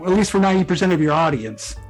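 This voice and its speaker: High-voiced man explaining over music. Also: lively intonation, rapid-fire, low-quality recording. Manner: conversational, explanatory. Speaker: guest author. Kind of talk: casual interview chat. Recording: background music. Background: music under the talk.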